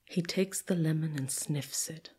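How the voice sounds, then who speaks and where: A woman storyteller narrating a folktale dramatically, with deliberate pauses and repetition that build suspense. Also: low voice, mellow, husky.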